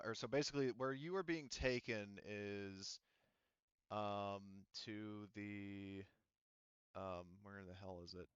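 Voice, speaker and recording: A man's voice: on the low side, fairly bright tone, clean tone, no echo. A man running an online tabletop game as game master, narrating in a rambling way and often losing his thread. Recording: dull, muffled audio.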